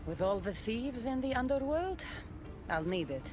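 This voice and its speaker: A woman's voice: a little high, big pitch swings, moderate pace. A woman playing a resolute, plain-spoken young mercenary in a video game, speaking earnestly and directly. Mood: determined. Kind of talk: video game character acting. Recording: game dialogue under clear music.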